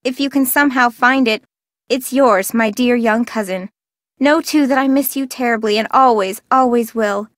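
Woman acting high-voiced heartsick young letter writer. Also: moderate pace, no echo, studio quality, lightly acted. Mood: heartsick longing. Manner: earnest, sorrowful, formal phrasing. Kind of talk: dramatic letter reading in a mystery game.

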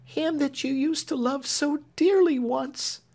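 A man voicing a flustered, motherly servant woman who speaks in a high, earnest voice. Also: slow pace, a little mellow, full character voice. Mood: pleading.

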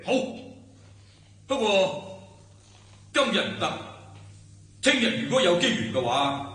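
Fawning, high-pitched scheming disciple. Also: male voice, sing-song, slow pace, pushed and bright, a little hiss or hum, exaggerated voice. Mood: deferential. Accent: Hong Kong Cantonese. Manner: obsequious, formally polite, wheedling. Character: fawning, scheming, greedy male martial arts disciple. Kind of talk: radio drama acting. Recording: echoey reverberant chamber sound.

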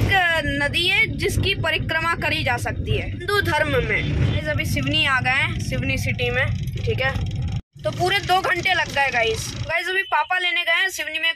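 A man's voice: extremely high pitch, background noise. A boy filming a casual travel vlog on a phone held at arm's length, outdoors and in a moving car. He talks in a chatty way and keeps saying "okay" and "guys". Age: young. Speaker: travel vlogger.

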